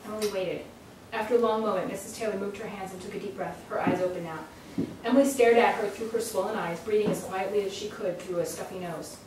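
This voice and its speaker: A woman's voice: medium pitch, fairly even intonation, fast talker. A woman reading a literary short story aloud at a live salon event. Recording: very echoey room, distant mic, faint noise.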